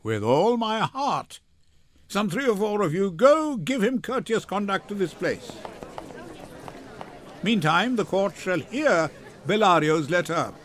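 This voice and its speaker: Older man acting stately male duke, Shakespearean courtroom, authoritative, unhurried, expressive, dry sound. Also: high voice, exaggerated voice. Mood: formal, gracious. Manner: formal, authoritative, measured. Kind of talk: dramatic Shakespeare reading.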